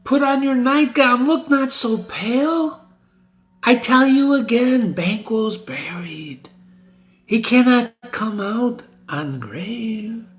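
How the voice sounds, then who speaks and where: A man's voice: extremely high pitch, expressive, takes it slowly, a bit bright, pitched above the performer's own voice, exaggerated voice. A man voicing a guilt-ridden sleepwalking noblewoman, repeating urgent, pleading commands in a dramatic reading of a stage tragedy. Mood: haunted, urgent.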